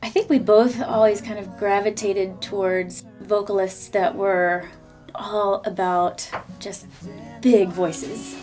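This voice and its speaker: A woman, a country singer, chats in an interview with easy enthusiasm. Her voice is warm and mellow at a middle pitch. Soft guitar music plays faintly underneath. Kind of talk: casual interview chat.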